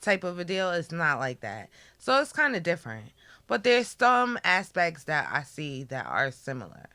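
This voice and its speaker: Raspy bright-voiced lady from new york, playful and animated chat, dry close mic. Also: sits low, normal speed, no room sound. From New York area. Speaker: guest. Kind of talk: casual chat.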